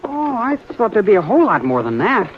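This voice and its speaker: A man's voice: high voice, wildly swooping pitch, a little fast. A shy, polite city boy. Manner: hesitant, polite. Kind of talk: 1950s radio drama acting. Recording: muffled old radio recording, faint hiss.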